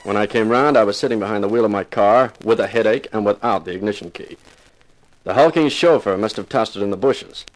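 An actor playing a wisecracking, cynical private detective in a 1950s radio drama, with a wry, flippant delivery. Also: male voice, lowish voice, fairly quick, natural voice, poor audio. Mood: brooding narration.

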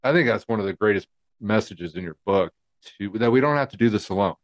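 A man hosting an interview, relaxed and supportive, with lots of fillers. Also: lowish voice, fast. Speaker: podcast host. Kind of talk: casual interview.

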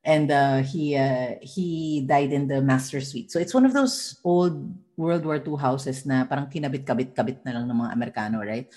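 A woman in her thirties with a Filipino accent, a podcast guest telling animated ghost stories on a video call from home, mixing English and Tagalog and laughing often. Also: very deep voice, moderate pace, few pauses. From Philippines. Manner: switches between English and Tagalog mid-sentence, animated, laughing. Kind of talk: casual storytelling in Taglish.

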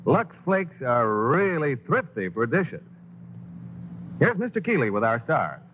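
A man's voice: medium pitch, very animated, a little slow, husky. A man working as a 1940s radio announcer, reading scripted commercials and announcements. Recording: dull old radio recording, music behind.

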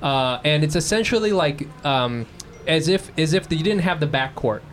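Male podcast host, slightly raised pitch, very animated, loose and giggly, faint background noise. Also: moderate pace. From West Coast of the US. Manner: laughing, loose, rambling. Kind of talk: casual chat.

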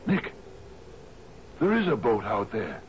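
An actor playing a troubled older sea captain in a 1970s radio drama, with a hesitant, stammering delivery. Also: male voice, lowish voice, big pitch swings, slow. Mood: haunted. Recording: muffled, noisy 1970s radio broadcast.

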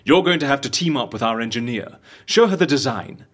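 A suave fixer in an audio drama, all business and wry theatricality. His voice is husky and swoops widely in pitch. He speaks articulately and persuasively, like someone selling a scheme. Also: expressive, brisk, a bit bright. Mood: brisk scheming. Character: suave, businesslike agency fixer who stages fake deaths, wry and theatrical.

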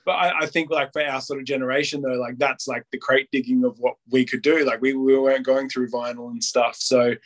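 A man's voice: mid-range voice, steady tone, a little fast, hardly any pauses, fairly bright tone. Rambling man in his late twenties. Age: late 20s. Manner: rambling, heavy use of "like", acts out quotes. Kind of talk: casual podcast chat.

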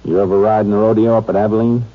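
An American actor playing a shrewd, laconic Texas Ranger in a 1950s radio drama, terse and matter-of-fact. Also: male voice, lowish voice, little pitch movement, racing, close to an everyday voice. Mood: casually probing. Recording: muffled old radio recording, faint music.

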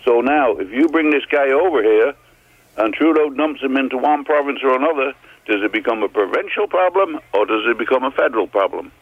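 A man's voice: mid-range voice, lively intonation, a little fast, slightly rough. An older man with a foreign accent calling a radio phone-in show, plainspoken and skeptical. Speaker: caller. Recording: tinny phone line.

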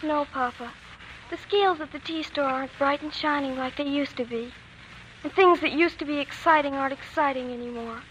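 A woman's voice: high-pitched, fairly quick, fairly bright tone. An actress playing an earnest, wistful young girl devoted to her father in a 1940s radio drama. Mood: troubled, wistful. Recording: faintly noisy 1940s radio broadcast.

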